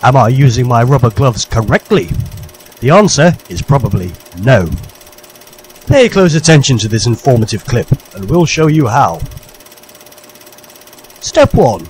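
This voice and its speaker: A man's voice: medium pitch, sing-song, measured pace, long pauses, slight background noise. A man narrating a parody vintage public information film in a formal, jolly, old-fashioned instructor's manner.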